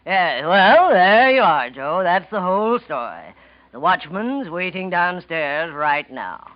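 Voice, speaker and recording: A man's voice: high-pitched, sing-song, a little slow, dry recording. A man acting a scheming, gloating killer in a 1940s radio mystery, jittery and resentful, with a taunting, theatrical delivery on a muffled vintage recording.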